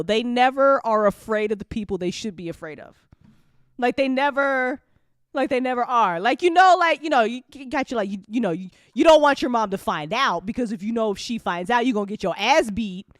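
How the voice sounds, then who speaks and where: A woman talks off the cuff in a casual after-show chat, her voice on the high side and close and dry. She rambles through stories in an animated, slangy way, swearing freely and slipping into little acted-out quotes. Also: brisk, dry recording. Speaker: audio drama creator chatting on a live after-show.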